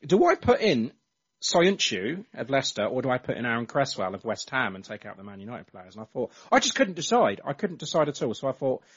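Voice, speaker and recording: Husky man hosting a chatty podcast. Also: medium pitch, very animated, a little fast. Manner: chatty, rambling, hesitant. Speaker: podcast host. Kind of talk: casual chat. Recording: dull lo-fi.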